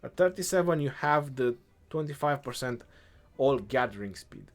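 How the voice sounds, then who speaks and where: A young man with an Eastern European accent, answering chat questions on a game live stream in a relaxed way, thinking aloud as he goes. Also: medium pitch, moderate pace.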